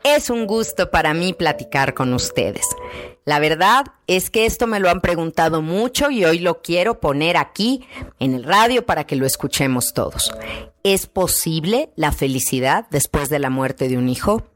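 Deep-voiced woman speaking on radio. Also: expressive, normal speed, faint music behind. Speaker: guest commentator. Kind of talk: radio talk segment.

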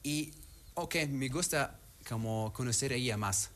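Male guest, Arabic accent, non-native speaker, hesitant delivery with many filler pauses, mid-range voice. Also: mid-range voice, a bit bright. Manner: halting non-native phrasing, frequent "eh" pauses. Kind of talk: casual interview chat.

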